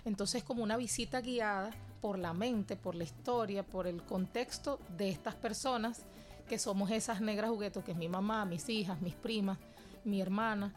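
A woman's voice: mid-range voice, normal speed, soft background music. A Venezuelan woman answering interview questions about her dance classes, earnest and reflective in long flowing sentences. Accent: Venezuelan Spanish. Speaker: dance teacher and cultural guest.

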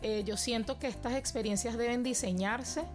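A woman's voice: a little high, over music. A young Venezuelan woman answering interview questions about her cultural work in an earnest, reflective way. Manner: earnest and reflective, with measured phrasing. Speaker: guest, cultural educator.

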